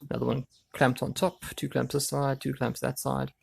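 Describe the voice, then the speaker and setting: A man with a gravelly voice explaining a woodworking job casually, thinking aloud as he works. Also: mid-range voice, monotone, normal speed. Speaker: DIY woodworking video host. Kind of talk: casual hands-on tutorial talk.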